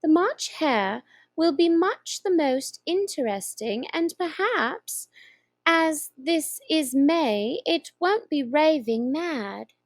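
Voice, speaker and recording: A polite, curious young girl from a storybook, as a woman reads her lines, asks her questions in a prim, earnest way. The voice sits high and moves a lot. It sounds close and dry. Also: expressive, slow, dry recording, exaggerated voice. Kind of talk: storybook reading.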